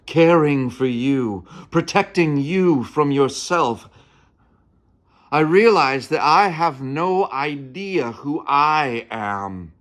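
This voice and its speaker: Slow, theatrical man as a young stage actor. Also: a little high, expressive, a bit bright. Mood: solemn, wistful. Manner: theatrical, measured, with weighty pauses. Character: earnest young 19th-century touring actor recounting his youth. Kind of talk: dramatic first-person monologue.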